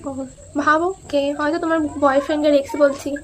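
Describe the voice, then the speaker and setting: A young woman talks extremely fast in a high voice. She is teasing and insistent, like a prank caller pushing a casual chat along. There is clear background noise on the recording. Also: level tone. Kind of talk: casual prank phone chat with asides to viewers.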